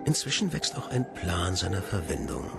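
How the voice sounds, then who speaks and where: An actor playing a cold, scheming male scientist in a measured, calculating radio drama monologue. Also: low-pitched, big pitch swings, gravelly, full character voice. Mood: cold, triumphant. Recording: voice over loud music.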